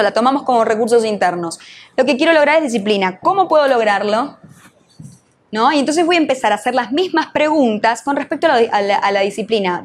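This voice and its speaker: Una mujer con acento argentino, entrenadora que da un taller en vivo y le hace preguntas al público. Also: female voice, high-pitched. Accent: Argentine Spanish. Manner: questions the audience, uses voseo, teacherly. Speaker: NLP trainer and coach. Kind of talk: live workshop talk.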